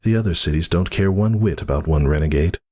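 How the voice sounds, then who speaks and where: A man's voice: deep voice, quick pace, warm tone, pitched above the performer's own voice. A man voicing a weary but defiant pegasus mother, speaking earnestly in a dramatic story reading. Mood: weary, firm. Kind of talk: dramatic fan fiction reading.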